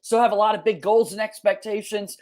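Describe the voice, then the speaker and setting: A man's voice: high voice, fairly even intonation, fairly quick, darkish tone. A man hosting a sports podcast, talking in a polished, upbeat, announcer-like way as he introduces and interviews.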